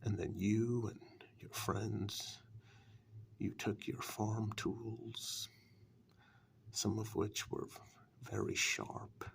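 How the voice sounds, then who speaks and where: A sinister fairytale warlock, acted by a man, speaks in a very gravelly, crackling voice that often sinks into a whisper. He takes his time over each phrase in a soft ASMR role-play, mocking and slyly reassuring by turns. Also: on the low side, flat delivery, exaggerated voice. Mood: sly, taunting. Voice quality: breathy half-whisper with gravelly creak. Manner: slow, deliberate, taunting rhetorical questions.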